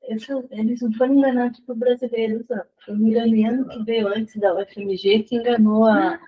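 Professor, woman, slightly high and husky; tells anecdotes with false starts; dull, lo-fi recording. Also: steady tone, brisk. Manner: recounting with restarts and false starts. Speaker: university professor. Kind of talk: anecdotal storytelling in a group.

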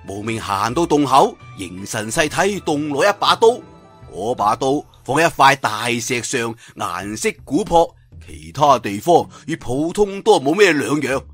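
A man's voice: medium pitch, very animated, measured pace, fairly bright tone, husky, soft background music. A man with a Cantonese accent telling a martial-arts tale in a dramatic oral storytelling style, with asides to listeners. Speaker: audiobook storyteller.

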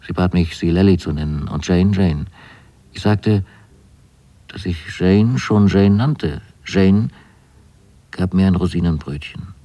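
An actor playing a naive, wryly fastidious son in a radio drama, telling of his new life in measured, precise, deliberate phrases. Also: low voice, dark tone, no echo. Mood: wry, fastidious wonder. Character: young man writing letters to his mother, wryly fastidious and naive. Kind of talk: literary radio drama monologue.

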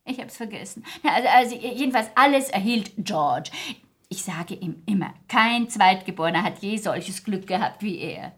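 A chatty, forgetful society hostess. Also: female voice, medium pitch, lively intonation, moderate pace, fairly bright tone, slightly rough. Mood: rambling, forgetful. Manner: rambling, gracious, chuckling, muddles her facts. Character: chatty, forgetful, amused aristocratic society hostess, older lady. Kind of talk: 1960s German radio play acting.

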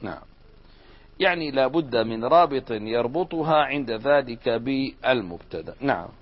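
A man's voice: mid-range voice, expressive, normal speed. A man teaching an Arabic grammar lesson, explaining step by step and putting questions to the class. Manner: explains step by step, asks students questions and answers them. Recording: dull lo-fi recording.

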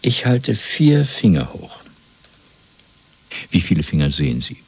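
A cold male interrogator in a radio drama, deep and very husky with a gravelly edge. He speaks deliberately and patronisingly, swinging widely from soft whispers to hard commands with fanatical certainty. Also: big pitch swings, no room sound, full character voice. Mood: insistent. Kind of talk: 1970s German radio play acting.

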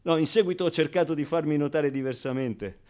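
Male actor as cheeky motherless orphan, fairly high voice, radio theatre monologue, colloquial, halting repetitions, outbursts and hushed confiding. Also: natural voice. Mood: wry, confiding. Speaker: stage actor performing a monologue.

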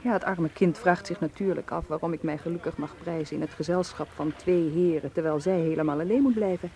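An actress in a radio play playing a self-possessed, forthright tour leader, wry and conversational, given to banter. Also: female voice, deep voice, a little fast, mellow. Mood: wry, curious. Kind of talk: radio drama acting.

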